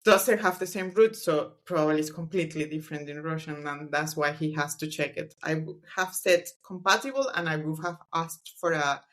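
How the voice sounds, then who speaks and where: A woman's voice: low voice, moderate pace, hardly any pauses, a bit bright. Hesitant woman, Spanish her first language. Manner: thoughtful, hesitant, trailing off, laughs easily. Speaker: guest, scientist. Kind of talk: casual book club discussion.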